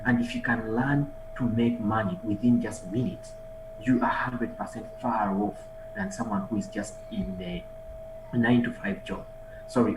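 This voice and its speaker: A man from Uganda, giving a motivational conference talk over a video call, energetic and urging the audience on. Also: lowish voice, background noise. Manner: energetic, exhorts the audience with repeated calls. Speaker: guest speaker, online marketer and trader. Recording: echoey room with music behind.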